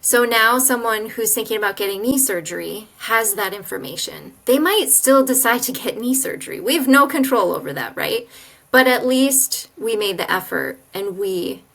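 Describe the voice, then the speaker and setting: A woman's voice: on the high side, lively intonation, moderate pace, a bit bright. A woman hosting a podcast interview, thinking aloud in a reflective, casual way with frequent "like" and "you know". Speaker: podcast host.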